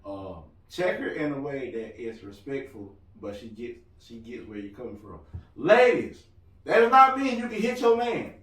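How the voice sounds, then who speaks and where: A loud-voiced man chatting on a podcast, rambling and cracking jokes in an easy, mid-pitched voice. He sits well back from the mic, and the room adds a roomy echo around him. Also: big pitch swings, normal speed, recorded from a distance. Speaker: podcast co-host. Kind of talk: casual podcast chat.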